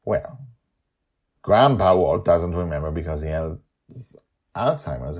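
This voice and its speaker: A man's voice: low-pitched, creaky, a little mellow, slightly rough. A man hosting a storytelling podcast, speaking in theatrical, emphatic short phrases. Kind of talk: dramatic podcast narration and commentary.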